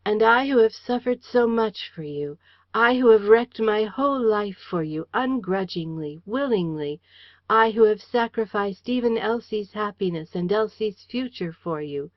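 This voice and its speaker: A woman's voice: on the low side, normal speed, no echo, natural voice. A woman voicing an earnest young man in an audiobook, imploring and tender toward the woman he loves. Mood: anguished. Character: earnest young man pleading with his beloved. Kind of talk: audiobook character reading. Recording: dry, slightly dull lo-fi audio.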